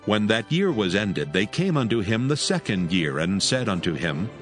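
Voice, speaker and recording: A man's voice: on the low side, very animated, moderate pace. A man narrating a dramatized scripture story from a script. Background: faint music.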